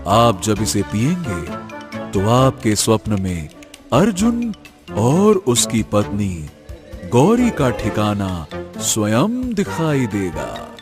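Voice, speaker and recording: A man's voice: on the low side, sing-song, full character voice. A man voicing a sly con artist who poses as a holy hermit in a cartoon story, theatrical and mocking, over music. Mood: solemn, pious. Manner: slow, theatrical chanting and mocking delivery. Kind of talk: animated story character acting. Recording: background music under the voice.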